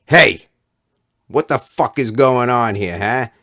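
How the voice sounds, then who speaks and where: A man voicing a fat kid bully with a soft heart in a storybook reading, loud, blustering and foul-mouthed. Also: medium pitch, slow pace, no room sound, studio quality, pitched above the performer's own voice. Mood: menacing, furious.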